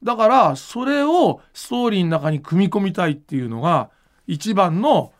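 A man's voice: high-pitched, sing-song, moderate pace. A man hosting a podcast, chatting casually and explaining his thoughts in an informal, musing way. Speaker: podcast host and manga creator.

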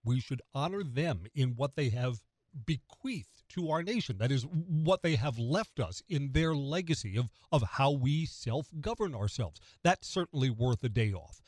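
A man's voice: mid-range voice, animated, a little slow, a little mellow, no echo. An American man hosting morning talk radio, delivering a conversational opinion monologue and putting rhetorical questions straight to the listener. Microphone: close studio radio microphone.

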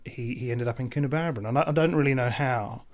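A young Australian man in his twenties, a podcast guest who talks with rich, formal diction in a measured, articulate way. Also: medium pitch. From central New South Wales, Australia. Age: 20s. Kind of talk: casual interview chat.

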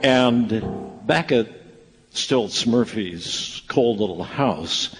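A man's voice: mid-range voice, lively intonation, very slow, poor audio. A man narrating a scripted live radio play as its announcer. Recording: live broadcast with loud music. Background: loud live music.

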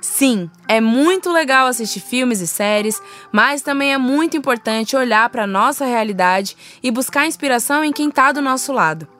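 Brazilian woman podcast host, higher-pitched voice. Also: expressive, moderate pace, few pauses, fairly bright tone, faint music behind. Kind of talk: conversational podcast hosting.

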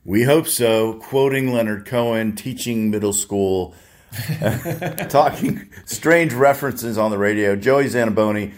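A man's voice: lowish voice, a little slow. An American man from New York state, a radio host, interviewing in a casual conversational way. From Buffalo, New York, United States.

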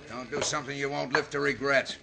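Man playing a gravelly western outlaw boss. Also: mid-range voice, little pitch movement, fast talker, over a noisy crowd, natural voice. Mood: brusque. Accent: American. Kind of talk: 1950s radio western acting. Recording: old radio recording with music behind.

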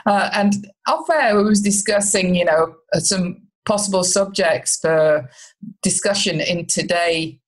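A woman with a slightly low voice hosts a relationship podcast. She chats in a friendly, conversational way and sometimes restarts her sentences as she goes. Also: unhurried, rough recording. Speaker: podcast host, relationship coach. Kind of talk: casual interview chat.

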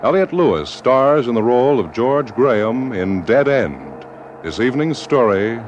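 A man's voice: mid-range voice, sing-song, measured pace, lo-fi sound, slight background noise. A man announcing formally in 1940s radio style on an old broadcast recording.

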